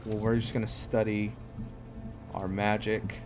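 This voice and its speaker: A man with a fairly low voice narrates his roleplay game in a casual way. He speaks very slowly, musing aloud in short phrases. Loud music plays behind him. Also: flat delivery. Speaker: gamer narrating own roleplay playthrough. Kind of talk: casual let's play commentary.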